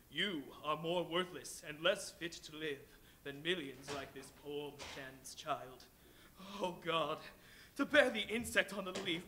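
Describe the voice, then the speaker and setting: A solemn Christmas spirit, played by a man on a live stage. His voice is bright and high, and he declaims gravely with measured weight. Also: slight background noise. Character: stern male Christmas spirit, solemn and rebuking.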